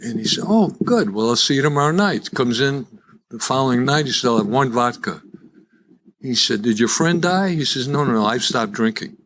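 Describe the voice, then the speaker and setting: A man's voice: medium pitch, animated, moderate pace, fairly bright tone, low-quality recording. Older Chicago man telling stories live. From Chicago, USA. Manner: wry, folksy, conversational storytelling. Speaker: guest speaker at a recovery meeting. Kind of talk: live personal testimony with jokes. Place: live in-person meeting before an audience.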